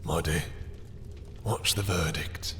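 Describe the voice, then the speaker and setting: A man playing a possessive, sadistic vampire in a roleplay audio drama, with silky, condescending menace and gravelly murmurs that drop toward whispers. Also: low voice, takes it slowly, fairly bright tone, big-room sound, slight background noise. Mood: smooth, commanding. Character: possessive, sadistic male vampire, dominant and seductive.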